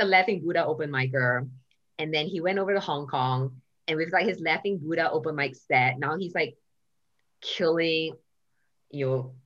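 A woman's voice: lowish voice, fairly bright tone, muffled recording. A woman from Singapore, a touring stand-up comedian, telling stories in a casual podcast chat. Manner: chatty storyteller, swearing and acting out quotes.

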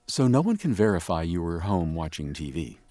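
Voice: sits low, sing-song, fairly quick, dark tone, no echo, studio quality. An actor playing a skeptical, exasperated police interrogator in an audio drama, with terse questions that can turn flustered and emphatic. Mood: dry, probing. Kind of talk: audio drama dialogue acting.

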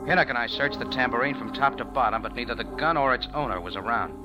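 An actor in a 1940s radio drama playing a tough, wry, unruffled cafe owner who narrates in a clipped, hard-boiled manner, on an old radio recording. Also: male voice, medium pitch, fast talker, loud music behind the voice, natural voice. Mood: hard-boiled, narrating.

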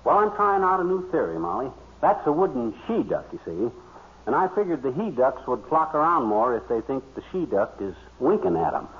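An actor playing a boastful, bumbling American husband in an old radio comedy, talking in a rambling, folksy way. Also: male voice, mid-range voice, very animated, a little fast, close to an everyday voice. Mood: boastful, scheming. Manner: folksy, rambling, tongue-twisting wordplay. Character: boastful, excitable husband and bumbling amateur duck hunter. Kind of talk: 1930s-40s radio comedy acting. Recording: muffled, noisy old-time radio recording.

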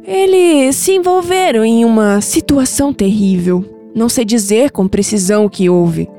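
A woman's voice: on the high side, mellow, close to an everyday voice. Actress as solemn, tender Brazilian grandmother, music beneath. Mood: solemn, grave. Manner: solemn, affectionate, measured. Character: tender, wise, mystical old grandmother revealing family secrets. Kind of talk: audio drama acting. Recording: music bed under the voice.

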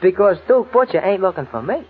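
On a tinny old radio recording, a jittery little sidekick talks in a high, husky voice. He stammers and muddles along in streetwise slang, loyal and none too bright. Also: sing-song, a little fast, exaggerated voice. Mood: flustered, rambling. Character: nervous, dim, loyal male crook's sidekick. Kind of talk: 1940s radio comic-sidekick acting.

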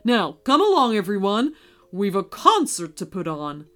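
A woman's voice: medium pitch, very animated, unhurried, fairly bright tone. Woman voicing a kindly, stern storybook Santa over music. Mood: warm, apologetic. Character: kindly, stern old male Santa with Christmas magic. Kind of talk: children's storybook character voice.

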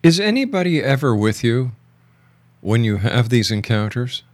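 A man's voice: medium pitch, very animated, moderate pace, a little mellow, no echo. A man hosting a radio interview, putting probing questions to a guest in a conversational way. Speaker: radio show host, interviewer.

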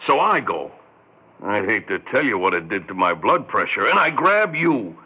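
An actor playing a gruff, world-weary male security guard in a 1960s radio drama, blunt and streetwise. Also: fairly low pitch, very animated, normal speed. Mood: irritated. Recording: muffled 1960s radio recording.

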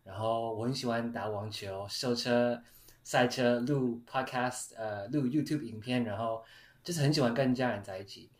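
Soft-spoken relaxed man in a roomy space. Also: mid-range voice, normal speed. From California, United States. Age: 30s. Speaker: podcast host. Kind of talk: casual group chat. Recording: roomy echo.

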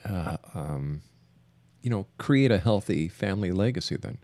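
Guy hosting a radio interview, deepish voice that moves up and down a lot, careful halting questions. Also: lively intonation, darkish tone, no echo.

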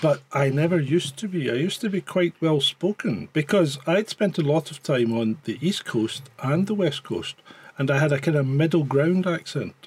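Husky Scottish man, slightly high-voiced. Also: lively intonation, moderate pace, a little mellow. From Scotland. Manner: rambling, sweary, dry humour. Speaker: live stream co-host. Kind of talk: casual bantering chat.

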